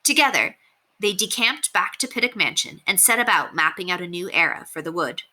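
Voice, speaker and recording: A woman reading a children's novel aloud as expressive narration. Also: fairly low pitch, fairly quick. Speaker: storybook reader.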